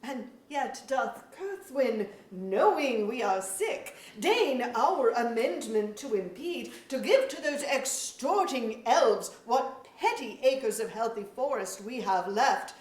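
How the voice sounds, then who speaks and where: A woman playing a slow-spoken, proud fairy king. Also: on the high side, animated. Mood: grave, aggrieved. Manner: formal, declamatory, archaic phrasing. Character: wounded, sorrowful male fairy king, proud and weary. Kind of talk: staged reading of verse drama. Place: museum auditorium stage. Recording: echoey auditorium, recorded from far off.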